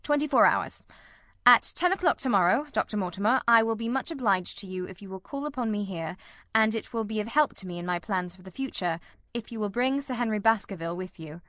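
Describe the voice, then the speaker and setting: A woman voicing a brisk, dryly witty male detective in a Victorian story, with precise, commanding, formal speech. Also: medium pitch, expressive, a bit bright, no room sound, studio quality, close to an everyday voice. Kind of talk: dramatised reading of a Victorian detective novel.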